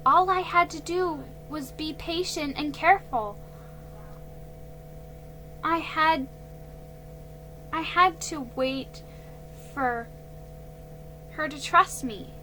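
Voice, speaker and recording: A woman's voice: slow pace, pauses often, bright, forceful tone, a little hiss or hum, exaggerated voice, poor audio. A woman voicing a spirited, headstrong young girl who pleads with her father, in a children's storybook reading. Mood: earnest. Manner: pleading, high and eager. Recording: faint music behind.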